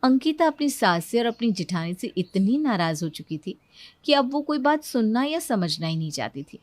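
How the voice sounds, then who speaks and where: A woman storyteller giving a scripted, expressive narration of a moral tale. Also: on the high side, moderate pace, no room sound.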